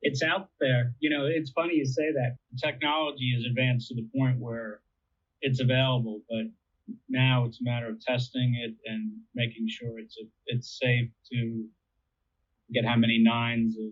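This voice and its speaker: A man's voice: medium pitch, little pitch movement, moderate pace. Man on a tinny phone-like line. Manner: conversational, explains with everyday analogies. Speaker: interviewee explaining air traffic control. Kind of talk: casual explanatory interview talk.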